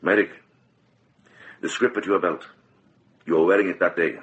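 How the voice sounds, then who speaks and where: An actor playing a shrewd, compassionate middle-aged monk in a radio drama, speaking with grave, measured deliberation. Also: male voice, deep voice, a bit bright, lo-fi sound. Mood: grave, accusing.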